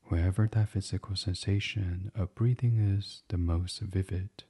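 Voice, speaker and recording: A man guiding a bedtime meditation as a podcast host, speaking softly and soothingly in an unhurried, hushed, breathy way. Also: sits low, steady tone. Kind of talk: guided meditation, gentle bedtime reading.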